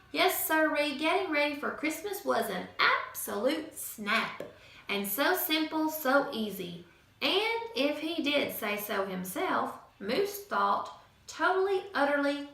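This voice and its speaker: A woman teacher reading a picture book aloud to children in an expressive, sing-song storytelling manner. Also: high-pitched, gravelly. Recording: echoey room from far off.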